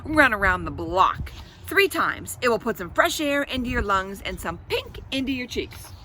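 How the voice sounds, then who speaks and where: A woman's voice: on the high side, sing-song, normal speed, bright, forceful tone, a little hiss or hum. A woman voicing a stern, bossy female babysitter in a storybook, firm and commanding. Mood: firm, smug.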